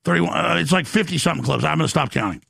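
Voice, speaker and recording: A man's voice: on the high side, creaky, a bit bright, husky, no echo. A male talk show host ranting in heated political commentary. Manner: fast, agitated ranting. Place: studio in Texas.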